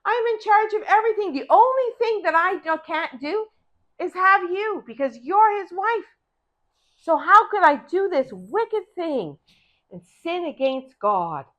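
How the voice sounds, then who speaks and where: A woman's voice: very high voice, expressive, unhurried, fairly bright tone, voice pushed up, full character voice. A woman voicing an earnest, upright young man who pleads his case.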